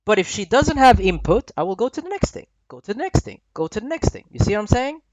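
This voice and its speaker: Male dating coach, 30s, from Sweden; high voice, dry close sound; relaxed and repetitive. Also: fast, no room sound, dull audio. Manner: laid-back, repetitive, keeps checking in with "right?". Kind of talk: voice-over commentary on footage. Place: voice-over recorded apart from the footage.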